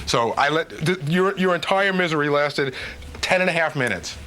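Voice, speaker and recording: A man's voice: on the high side, lively intonation, normal speed, sharp tone, a little hiss or hum. A man who works on a radio show, bantering casually in a blunt, profane, argumentative manner. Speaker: radio show staff member.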